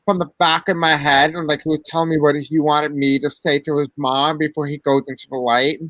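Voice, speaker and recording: A man with a fairly high, bright, sharp voice hosts a live tarot chat and reads out viewer comments. He talks in an excitable, giggly way, and the sound is dry and close. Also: fairly quick, no echo, rough recording. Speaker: host of the channel, tarot reader.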